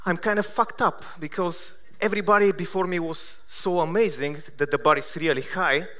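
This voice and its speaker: A man in his thirties from Bulgaria with a Bulgarian accent, giving a conference keynote with self-deprecating deadpan humor. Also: sits high, normal speed. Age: 30s. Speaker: conference speaker. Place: large conference hall.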